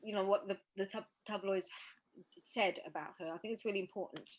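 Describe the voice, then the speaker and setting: A woman hosts a relaxed discussion in a mid-range voice. She speaks with emphasis, often restarting and repeating words as she works through a point. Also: medium pitch, a little fast, slight background noise. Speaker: podcast host. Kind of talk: casual discussion.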